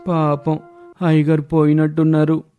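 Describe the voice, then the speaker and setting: A man's voice: on the high side, monotone, normal speed, mellow, faint music behind, natural voice. A man voicing two silly village simpletons who bicker childishly over which one is cleverer. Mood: squabbling. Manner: childish bickering, sing-song whine. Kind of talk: cartoon voice acting.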